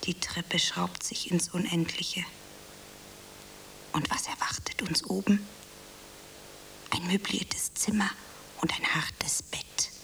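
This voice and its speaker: An actress playing an eager, earnest eighteen-year-old drama beginner, shy and breathy with a theatrical streak, in radio drama style. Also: female voice, on the low side, steady tone, slow pace, long pauses, a bit bright, whispered, exaggerated voice, rough recording. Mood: melodramatic, brooding. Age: young adult. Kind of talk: German radio drama acting. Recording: faint tape hiss.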